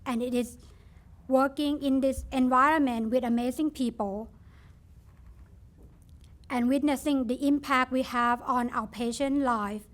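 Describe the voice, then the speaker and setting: Young woman from Thailand, Thai accent, high voice, student speaker reading a prepared ceremony speech. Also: steady tone, unhurried, pauses often.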